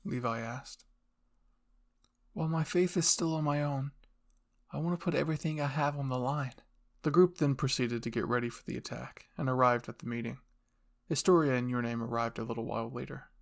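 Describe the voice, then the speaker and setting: A man reads fan fiction as a scripted story. His voice sits at a medium pitch, and he speaks as narrator and channel host. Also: fairly even intonation, a little fast, gravelly.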